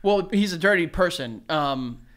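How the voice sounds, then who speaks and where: A young man trades jokes in a relaxed podcast chat, his voice sitting a touch high for a man. He talks close into a desk microphone in a small studio room. His humor is animated, broken up with dry, deadpan quips. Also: a little slow. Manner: joking, animated, deadpan asides. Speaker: podcast co-host. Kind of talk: casual chat. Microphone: desk dynamic mic on stand.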